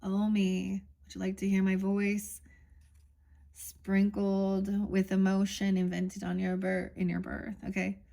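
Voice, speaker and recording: A woman's voice: mid-range voice, monotone, slow, vocal fry, warm tone. Woman musing through an oracle reading. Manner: meandering, musing, reads card texts aloud. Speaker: tarot reader. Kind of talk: casual oracle card reading.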